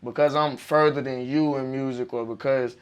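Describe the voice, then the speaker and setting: A young man from Arkansas with a raspy voice, a music artist telling stories in a casual interview, animated and full of slang. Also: medium pitch, moderate pace. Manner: animated, profane storytelling with slang.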